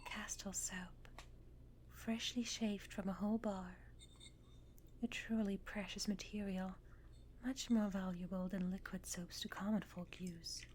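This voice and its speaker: A woman playing a devoted, gentle Regency lady's maid in a whispered ASMR roleplay, speaking in a hushed, formal, deferential manner. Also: medium pitch, fairly even intonation, measured pace, a little hiss or hum, lightly acted. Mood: reverent, attentive. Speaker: ASMR performer. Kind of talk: whispered ASMR roleplay, scripted period narration.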